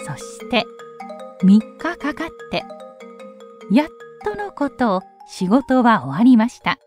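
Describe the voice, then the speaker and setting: A woman narrating a children's story in an expressive storytelling style. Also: fairly high pitch, slow pace, soft background music.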